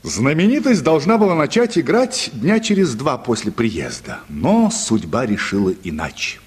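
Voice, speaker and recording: A male narrator reads a story for a radio drama in a husky, middle-pitched voice. His delivery is animated and expressive, with a long reverberant tail around it. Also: normal speed. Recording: reverberant studio hall sound.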